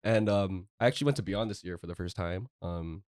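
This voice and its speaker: Fast-talking guy, low and hyped. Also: a crowd in the background. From born in Singapore, grew up in Canada. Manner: hyped, slangy, repeats himself. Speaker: podcast co-host. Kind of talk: casual chat.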